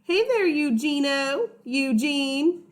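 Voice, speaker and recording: A woman voicing a loyal, excitable schoolboy best friend in a children's storybook, speaking emphatically and exclaiming. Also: sits high, slow pace, voice pushed up. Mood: teasing.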